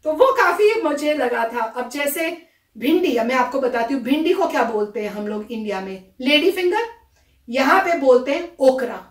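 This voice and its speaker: A woman from India chatting casually about a video in an animated, storytelling way. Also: high voice, moderate pace. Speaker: reaction vlogger. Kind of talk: casual chat reacting to a video. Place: US home. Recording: echoey room, far from the mic.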